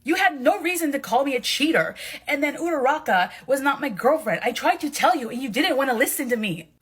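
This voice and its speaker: A woman playing a proud, wounded young man in an amateur audio drama, blunt, emphatic and sweary, with a husky voice. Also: fairly high pitch, animated, moderate pace. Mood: hurt, scornful.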